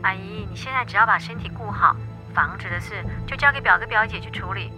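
An actress playing a caring young niece in a radio play, soothing and reassuring. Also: female voice, mid-range voice, fast, over music, close to an everyday voice.

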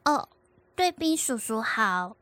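A woman voicing an eager little boy who chatters in an excited, childlike way. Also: fairly high pitch, slow, no echo, heavily acted.

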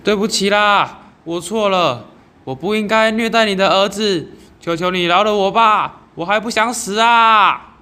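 A man's voice: sits high, a little slow, sharp tone, voice pushed up. A teenage male student playing a terrified young wife who pleads for mercy in an amateur radio drama. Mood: pleading, afraid. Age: teens.

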